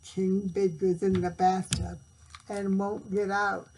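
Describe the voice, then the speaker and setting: A woman reading a storybook, voicing a frantic young boy page who cries out for help in a high, loud, drawn-out plea. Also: little pitch movement, a little hiss or hum, poor audio.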